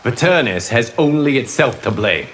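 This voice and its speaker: A man plays a wise, reproving protector from a fighting game. He admonishes earnestly in a mid-pitched, strongly inflected voice, with clear noise behind. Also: very animated, normal speed, natural voice. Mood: firm. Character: wise, reproving, dignified guardian god. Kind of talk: video game fight intro banter. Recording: game audio with background noise.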